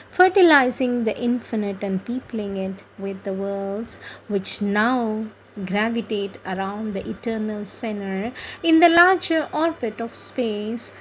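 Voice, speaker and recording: A woman reciting spiritual prose devotionally, in a solemn, declamatory manner with measured phrasing and pauses. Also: mid-range voice, slight background noise, rough recording. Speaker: reader of spiritual writings.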